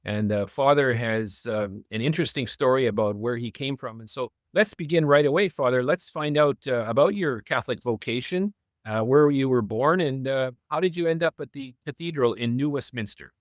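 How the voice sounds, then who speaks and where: A man's voice: medium pitch, normal speed, dry recording. Hesitant male interview host, dry sound. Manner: hesitant, many fillers. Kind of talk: interview introduction.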